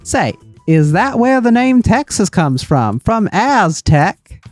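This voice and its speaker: A man's voice: sits high, sing-song, slow, faint music behind, pitched above the performer's own voice, full character voice. A man voicing a noisy, gushing, folksy middle-aged woman tourist who rambles on in an audio story. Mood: chatty. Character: loud, chatty, folksy middle-aged tourist wife from Wisconsin.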